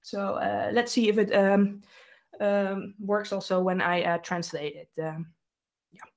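A woman's voice: medium pitch, level tone, a little slow. A woman in her thirties with a Dutch accent, presenting a technical talk with a live demo in a casual way, with many fillers and restarts. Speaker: developer advocate presenter. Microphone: webcam video call.

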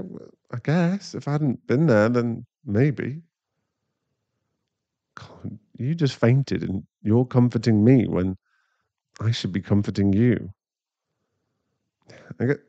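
A man's voice: mid-range voice, animated, warm tone, no room sound. Casting: male actor as anxious, caring werewolf boyfriend for ASMR audio; close, dry, slightly dull recording, soothing and rambling. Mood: shaken, rambling. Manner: halting, rambling, soothing, breathy sighs.

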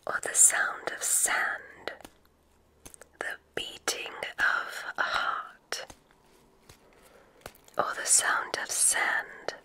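Woman playing a slow, whispering witch examiner. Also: pushed and bright, exaggerated voice, low-quality recording. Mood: mysterious, soothing. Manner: slow, deliberate, encouraging, theatrical phrasing. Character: kindly witch examiner testing a magic school applicant. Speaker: ASMR performer. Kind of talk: ASMR roleplay, whispered.

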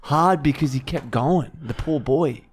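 A man's voice: mid-range voice, unhurried, breathy edge. A man bantering casually as a podcast co-host into a studio microphone. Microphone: boom-arm podcast microphone.

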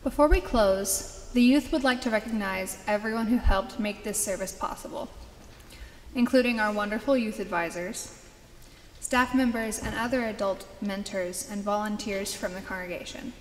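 A woman's voice: mid-range voice, normal speed. A young woman leading a service, making a scripted announcement to a congregation from a church lectern. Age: young adult. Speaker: youth service leader. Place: large church sanctuary, at a lectern. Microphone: lectern microphone. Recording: echoey church hall, faint background noise.

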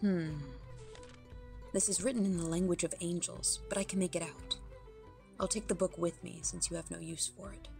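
A woman's voice: fairly low pitch, steady tone, moderate pace, gravelly, a little mellow, faint music behind. A woman playing a gloomy, stoic demon warrior in an audio drama, terse and serious. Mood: matter-of-fact. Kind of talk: dramatized webcomic audio play.

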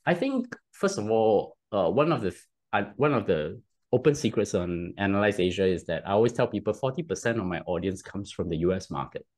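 Deep-voiced Singaporean man explaining. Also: expressive, fairly quick, darkish tone. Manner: explains at length with many restarts and rhetorical "right?". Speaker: podcast guest. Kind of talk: casual interview talk. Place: home room, video call. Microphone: headset.

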